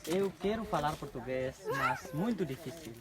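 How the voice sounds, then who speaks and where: Animated woman exclaiming over loud crowd. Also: low-pitched, moderate pace. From East Timor. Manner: lively, exclaiming, laughing. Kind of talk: casual chat with family. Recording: loud crowd noise behind.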